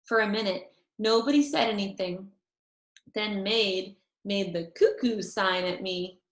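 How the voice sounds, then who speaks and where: A woman reading a children's chapter book aloud in an expressive, deliberate storytelling manner. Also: medium pitch. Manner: animated, deliberate storytelling with drawn-out phrases. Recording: roomy, echoey sound.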